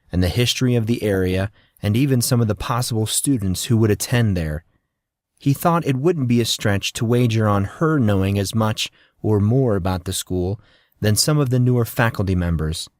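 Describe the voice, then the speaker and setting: A man's voice: on the low side, no echo, clean studio sound. A man reading scripted fiction as an audiobook narrator.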